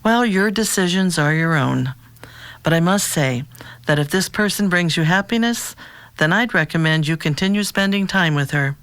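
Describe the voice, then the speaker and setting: A measured woman therapist, dry acoustic. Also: lowish voice, lively intonation, fairly bright tone, no echo. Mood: composed, supportive. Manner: measured, professional, questioning. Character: calm, probing therapist with quiet humor. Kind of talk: naturalistic audio drama dialogue.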